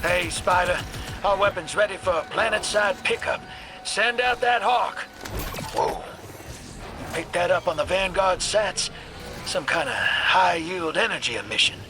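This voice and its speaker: An actor playing a gruff, wry, cynical gambler rogue in a video game cutscene, with a slangy, folksy way of talking. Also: male voice, sits high, lively intonation, a little slow, over music. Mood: urgent.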